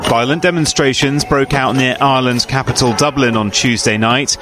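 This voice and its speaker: A man reading a news report in a formal, even delivery. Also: medium pitch, moderate pace.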